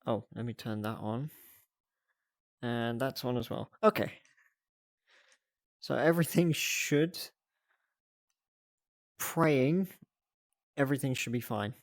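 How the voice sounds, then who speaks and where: A casual livestream host who talks at an unhurried pace and thinks aloud. The delivery is chatty and prone to giggles, with a mid-pitched voice. It is captured close and dry, with very little room sound. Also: dry recording. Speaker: livestreamer hosting a reaction stream.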